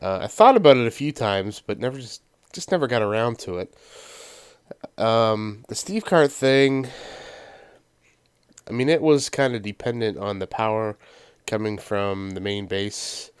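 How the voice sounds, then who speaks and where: Game host, male, nasal from a sinus cold, chatty and meandering, smooth lower voice. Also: a little slow, pauses often, no room sound, clean studio sound. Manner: rambling, many ums and uhs. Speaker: let's play host. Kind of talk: casual gameplay commentary.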